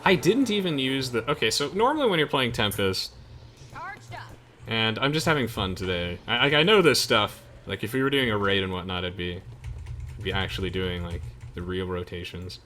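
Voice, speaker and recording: A young man with a lowish voice hosts a let's play. He talks casually and informally, explaining the game as he goes. Game music plays clearly behind his voice. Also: animated, moderate pace, sharp tone. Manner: chatty, explanatory, informal slang. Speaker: gamer hosting a let's play. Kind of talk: casual gameplay commentary. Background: video game music.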